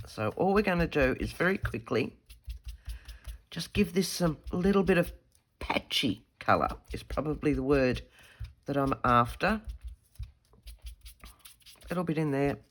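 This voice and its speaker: An Australian woman talks through a craft demonstration at her desk. Her voice is croaky from a head cold. She chats casually, thinking aloud and correcting her own words as she goes. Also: deep voice, expressive, unhurried, pauses often. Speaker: craft tutorial host.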